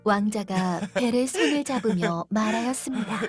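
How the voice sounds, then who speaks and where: A woman narrating a children's fairytale in a clear, gentle read-aloud manner. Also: mid-range voice, animated, normal speed, soft background music. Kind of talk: scripted storybook narration.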